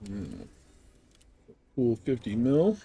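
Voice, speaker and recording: A man's voice: medium pitch, wildly swooping pitch, extremely slow, creaky, dark tone, slightly rough. A man giving casual live commentary as he plays a video game, muttering offhand to himself.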